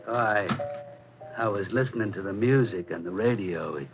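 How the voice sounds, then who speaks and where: An actor playing a simple, childlike, lonely lodger who speaks hesitantly in a 1940s radio mystery. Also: male voice, on the low side, normal speed, close to an everyday voice. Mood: wistful, hurt. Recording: muffled old radio recording. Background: music on a radio.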